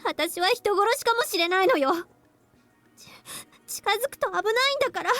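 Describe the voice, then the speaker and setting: A cute schoolgirl from an anime visual novel. Her voice is tiny and very high, the delivery quick and emotional. Music plays behind her. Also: lively intonation, a bit bright, no echo. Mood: distressed, defensive. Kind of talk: Japanese visual novel voice acting. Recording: game audio with music behind.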